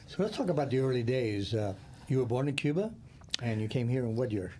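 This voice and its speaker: A man's voice: mid-range voice, lively intonation, fairly quick, darkish tone. A man hosting an interview, steering it with encouraging, leading questions. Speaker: interviewer, host. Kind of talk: conversational interview. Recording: faint background noise.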